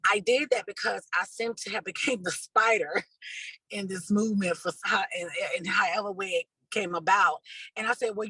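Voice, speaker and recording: Husky, bright-voiced woman activist being interviewed. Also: fairly low pitch, dry recording, rough recording. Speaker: community organizer and activist, interview guest. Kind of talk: conversational interview answers.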